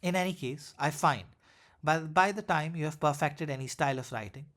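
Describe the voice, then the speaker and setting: A man with a slightly high voice narrates a literary essay. He reads in a measured, formal manner, and now and then trips over a word. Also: fairly bright tone. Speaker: essay reader.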